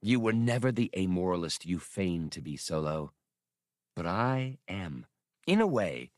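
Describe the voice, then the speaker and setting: A man's voice: deep voice, sing-song, no room sound, studio quality. Man voicing a smug, coldly courteous gunman villain. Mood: smug amusement. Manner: formal, condescending, unhurried menace. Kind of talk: audiobook character dialogue.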